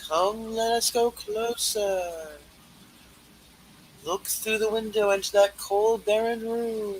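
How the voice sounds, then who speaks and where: A performer voicing a solemn, commanding ghost in a play reading, speaking in a formal, measured way. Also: mid-range voice, steady tone, slight background noise, natural voice, poor audio. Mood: grave, probing. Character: solemn, commanding Ghost of Christmas Past spirit. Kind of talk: theatrical live play reading.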